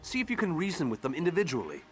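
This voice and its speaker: An actor playing an exasperated, diplomatic archmage in a fantasy game, with a refined, wry and theatrical delivery. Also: male voice, fairly high pitch, wildly swooping pitch, racing, faint music behind. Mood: wry, frustrated. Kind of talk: fantasy game dialogue acting.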